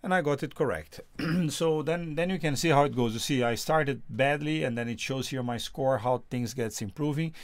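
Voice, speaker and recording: A man whose first language is Portuguese, reviewing music software in a casual, halting way, often repeating words. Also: medium pitch, no echo. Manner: halting, repeats words, sings test notes loudly.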